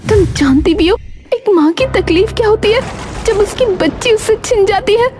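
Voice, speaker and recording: A woman's voice: very high-pitched, lively intonation, normal speed, over music, voice pushed up. A woman acting an anguished, protective young mother in a cartoon moral story, emotional and pleading. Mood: indignant.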